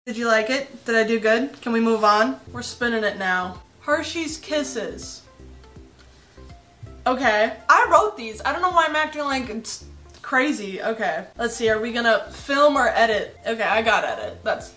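A young woman with a bright, slightly raised voice chats to camera from her bedroom, joking at her own expense and giggling between lines. Soft music plays underneath. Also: fairly high pitch, animated, moderate pace. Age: young adult. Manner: chatty, self-mocking, giggly. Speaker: comedy YouTuber. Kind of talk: casual chat to camera. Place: small bedroom.

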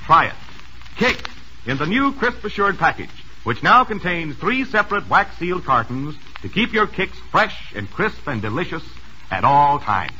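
American male announcer, vintage radio sell, animated and forceful, faint noise behind. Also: on the high side, moderate pace, rough recording. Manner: enthusiastic, polished selling. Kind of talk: 1930s-40s radio advertising read.

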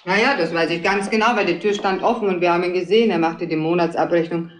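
An actress playing a defensive, loyal barmaid in a radio crime drama, emphatic and easily indignant. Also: female voice, on the low side, fairly even intonation, quick pace, close to an everyday voice. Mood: wary, explaining. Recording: roomy, faintly noisy radio play recording.

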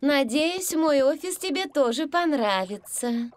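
A woman's voice: high voice, animated, unhurried. A woman voicing a friendly, patient mother kangaroo who explains things simply, in a Russian cartoon dub.

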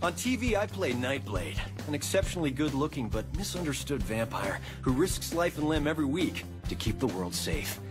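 A man's voice: fairly high pitch, big pitch swings, moderate pace, few pauses, music in the background. A man playing a vain, dim young TV vampire actor, self-important and theatrical. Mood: pompous.

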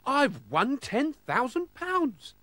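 A man voicing an excitable gentleman motorist in a storybook, emphatic and full of exclamation. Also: medium pitch, slow, slightly rough, dry recording, heavily acted. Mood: joyful.

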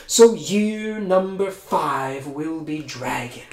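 Stately old emperor, slow and measured , put on by a storybook reader; echoey room with steady noise behind. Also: mid-range voice. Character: wise, stately old male emperor judging a race.

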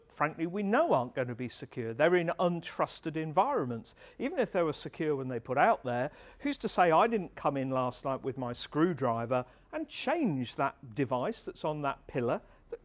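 A middle-aged man giving an earnest, conversational talk at a tech meetup, speaking into a handheld mic in a large hall. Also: on the high side. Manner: conversational, earnest, gestures while presenting. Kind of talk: conference talk. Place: large dark event hall.

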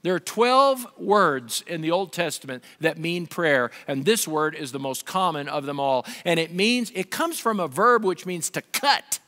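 Pastor, high bright voice, animated preaching style, reverberant hall. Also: male voice, measured pace, hardly any pauses. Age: 50s. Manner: animated, gesturing preacher with wide swings in pitch and volume. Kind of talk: sermon. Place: large dark stage with a pulpit. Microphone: headset.

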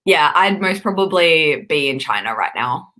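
A woman's voice: low-pitched, animated, normal speed, pushed and bright. A woman in her twenties with an Australian accent, chatting and laughing on a video call from a room at home, with lots of ums. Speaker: YouTuber co-hosting a live stream. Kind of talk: casual chat. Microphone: webcam on a video call.

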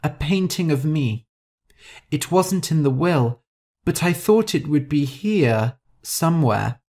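A woman's voice: sits low, animated, slow pace, dark tone, husky, voice pushed up, heavily acted. A woman voicing a haughty, vain, hot-tempered older heiress in an audiobook story, with an imperious, theatrical manner. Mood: polite, probing.

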